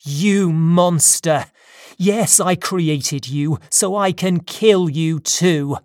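A narrator voicing an anguished, furious man in an audiobook story, with loud, emphatic, dramatic delivery. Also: mid-range voice, very slow, no room sound, clean studio sound. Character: anguished, furious man confronting his own creation.

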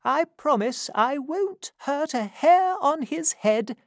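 A motherly older lady from a storybook, reassuring and kind, as a woman puts her on. Her voice rides high with lively swings. The sound is dry and close. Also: takes it slowly, no echo, exaggerated voice. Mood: kindly. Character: kindly older aunt, motherly.

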